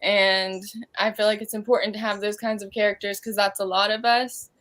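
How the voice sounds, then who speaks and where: A woman's voice: mid-range voice, little pitch movement, fairly quick, fairly bright tone. A young woman who acts on stage, giving a casual answer as an interview guest.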